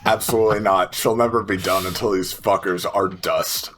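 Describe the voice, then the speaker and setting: A man's voice: low voice, fairly even intonation, normal speed, a bit bright. A man playing a tabletop game, casually talking through rules and describing what his character does.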